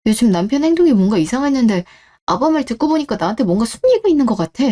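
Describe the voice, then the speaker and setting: A woman's voice: on the high side, sing-song, quick pace, dark tone. A woman playing an earnest, hurt wife and daughter in a scripted radio drama. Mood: defensive, worried.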